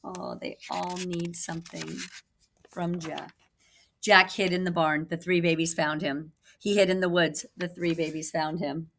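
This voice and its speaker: A woman reading a picture book aloud for children in an animated way, with asides to listeners. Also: lowish voice, measured pace, gravelly, slight background noise. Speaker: children's storybook reader, teacher. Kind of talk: expressive read-aloud for children.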